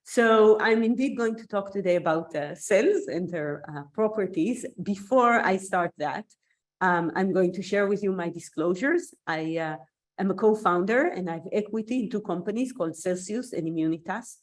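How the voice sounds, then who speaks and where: A woman's voice: medium pitch, normal speed. A woman from Israel whose native language is Hebrew, giving a thoughtful online seminar talk over a video call while recovering from an illness, with an occasional cough. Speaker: invited scientist speaker.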